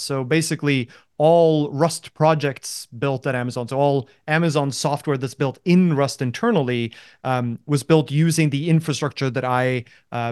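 A man from Norway, a guest in a casual interview who talks in a relaxed way and repeats words as he starts sentences. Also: mid-range voice, clear, resonant tone, dry recording, studio quality.

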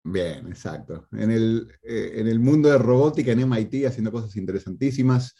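A man's voice: mid-range voice, animated, brisk, dark tone, husky. A man with a Latin American Spanish accent hosting a podcast in casual interview chat. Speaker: podcast host.

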